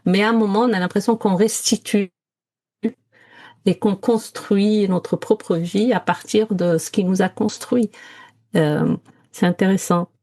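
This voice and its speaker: A woman's voice: mid-range voice, steady tone, a little slow, mellow. A woman from Morocco talking casually and reflectively as a podcast co-host, searching for her words and mixing in English words. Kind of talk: casual reflective conversation, personal storytelling.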